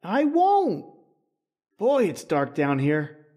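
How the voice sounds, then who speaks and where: A man's voice: high-pitched, huge pitch swings, very slow, warm tone, heavily acted. A man playing an eager, reckless junior expedition member in old-time radio horror, with a folksy, exclamatory way of talking. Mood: stubborn, excited.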